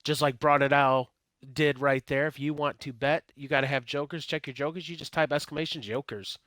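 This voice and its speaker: A man with a slightly hoarse, congested voice, casually hosting a livestream of a wrestling game league. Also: on the high side, steady tone, brisk, fairly bright tone, dry recording. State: hoarse, getting over a cold.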